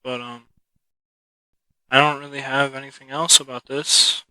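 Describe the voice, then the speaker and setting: Bright-voiced guy rambling through a gadget review. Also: medium pitch, a little slow, clear, resonant tone, no room sound, poor audio. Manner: rambling, relaxed, thinking aloud. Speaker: product reviewer. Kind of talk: casual unscripted product review. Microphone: cheap mixer-connected microphone.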